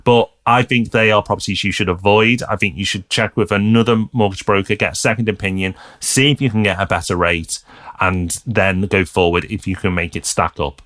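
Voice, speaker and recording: A British man co-hosting a property podcast, giving emphatic, lively advice to a listener. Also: fairly low pitch, brisk. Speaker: podcast co-host.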